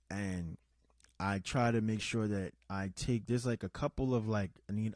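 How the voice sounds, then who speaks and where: A man hosting a casual, unscripted podcast, talking in a loose, rambling way, restarting sentences and giving shout-outs to listeners. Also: on the low side, dry recording, studio quality. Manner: rambling and conversational, with many restarts and asides. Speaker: podcast host.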